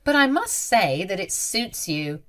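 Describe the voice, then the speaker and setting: A woman's voice: mid-range voice, sing-song, a little slow, a bit bright. Woman doing a snobby cruel mother-in-law for a story, middle aged, super haughty n scornful. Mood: mocking. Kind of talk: dramatized story narration.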